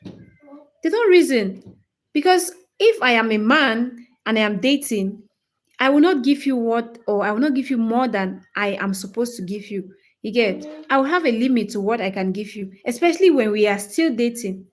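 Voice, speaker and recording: A woman in her twenties with a Cameroonian English accent, chatting casually with livestream viewers in an animated, opinionated and emphatic way. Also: fairly high pitch, moderate pace. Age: 20s. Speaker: livestream host, English-teaching creator.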